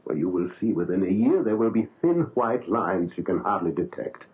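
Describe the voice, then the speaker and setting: An actor playing a proud, paternal surgeon in a 1970s radio drama, coaxing and insistent. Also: male voice, low voice, sing-song, moderate pace. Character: proud, paternal German surgeon, older man. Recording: narrow phone-like old radio broadcast.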